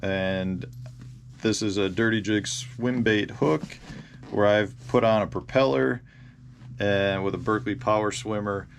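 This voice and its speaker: A man with an American accent and a fairly low voice talks casually to his viewers as a vlog host. He rambles a little and pauses as he goes, unscripted. Clear ambient noise sits behind his voice. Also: steady tone. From Indiana, United States. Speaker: host of a fishing channel. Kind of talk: casual vlog storytelling about fishing gear.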